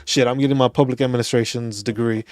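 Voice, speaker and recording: A man, a veterans' channel host, speaking in a casual, animated rant, often restarting and repeating phrases. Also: mid-range voice, quick pace, no room sound, clean studio sound. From New York City. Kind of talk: casual opinion talk.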